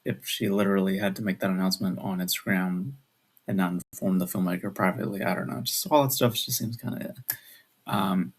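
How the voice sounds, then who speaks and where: Low, creaky young man, hushed and rambling. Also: fairly even intonation, fairly quick. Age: 20s. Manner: hesitant, rambling, keeping voice hushed. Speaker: video creator. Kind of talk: casual video chat. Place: at home at night.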